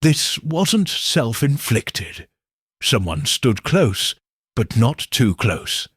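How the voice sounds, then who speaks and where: A man voicing a grim village doctor who speaks in a careful, measured way. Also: medium pitch, sing-song, slightly rough, dry recording, studio quality, heavily acted.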